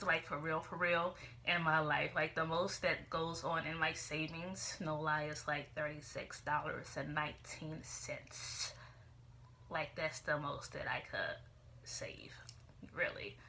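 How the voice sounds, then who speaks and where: A young woman chats loosely to her camera, drifting from thought to thought. Her voice sits a little low but sounds bright and forward. A faint hiss of room noise stays in the background. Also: steady tone, a little slow. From Chicago, USA. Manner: rambling and chatty, full of "you know" and "like". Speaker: vlogger. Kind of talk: casual chat to camera.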